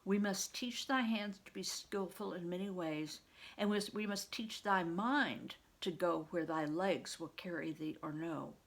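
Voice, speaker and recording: A woman voicing a kindly, patient male monk who teaches in archaic, measured phrasing for a storybook. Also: lowish voice, vocal fry. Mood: gentle, encouraging.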